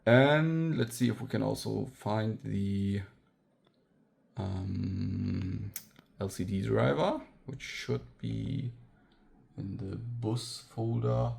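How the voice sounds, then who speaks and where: A man's voice: on the low side, steady tone, very slow, creaky, darkish tone. A man giving a casual hands-on tech tutorial, thinking aloud as he demonstrates. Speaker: electronics hacker presenting own project.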